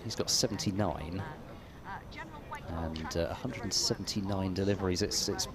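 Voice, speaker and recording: A man's voice: low-pitched, moderate pace, a crowd in the background. Hesitant man calling cricket on radio. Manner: hesitant, repeats words mid-sentence; rises sharply when the action picks up. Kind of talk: ball-by-ball radio commentary.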